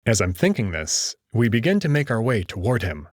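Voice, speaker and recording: Low-voiced man narrating with lively pitch. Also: moderate pace, dry recording, clean studio sound. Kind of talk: first-person dramatic story narration.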